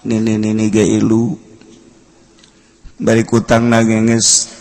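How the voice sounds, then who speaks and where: A man with a Sundanese accent, telling a comic folk tale in a sermon, drawing out his words and jumping between soft asides and loud bursts. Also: lowish voice, completely flat delivery. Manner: slow, drawn-out comic storytelling with sudden loud bursts and mock voices. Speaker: Islamic preacher. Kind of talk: humorous storytelling sermon.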